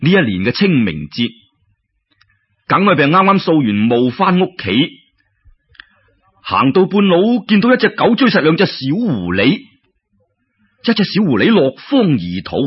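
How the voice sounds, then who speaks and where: A man's voice: a little high, very animated, unhurried, long pauses, slightly rough. A man with a Cantonese accent telling a serial story in a colloquial, dramatic way full of exclamations. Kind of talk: animated traditional serial storytelling. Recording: dull lo-fi radio-like recording.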